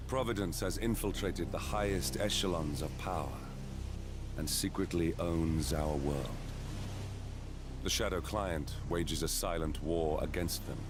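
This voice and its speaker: Deep, grave story narrator. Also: male voice. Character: grave male narrator of a spy conspiracy story. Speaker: recap narrator. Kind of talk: dramatic scripted narration. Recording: music under the voice.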